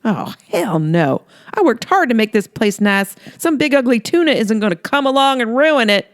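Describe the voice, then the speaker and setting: A woman's voice: mid-range voice, sing-song, no echo, studio quality, pitched above the performer's own voice. A woman voicing a feisty, brash woman from a trailer park who loves pink and talks back sassily, in an audiobook reading. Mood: indignant. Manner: sassy, bold, quick to yell.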